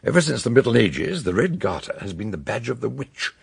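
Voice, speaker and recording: An actor in a radio detective drama playing an eccentric older doctor, a grave scholarly sleuth who speaks in a ponderous, theatrical way, with a gravelly, husky voice. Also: male voice, medium pitch, sing-song, a little fast, exaggerated voice. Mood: grave, emphatic.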